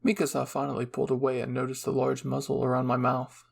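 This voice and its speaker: A man's voice: mid-range voice, monotone, fast, creaky, breathy edge. A man reading scripted first-person narration as a storyteller.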